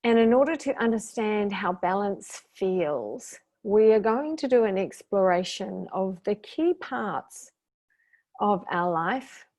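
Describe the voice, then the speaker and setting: A woman leads a guided meditation at a very unhurried pace. Her delivery is gentle and soothing, in short instructional phrases separated by long pauses. Her pitch sits in the middle of the female range. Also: vocal fry. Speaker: guided meditation teacher and intuitive therapist.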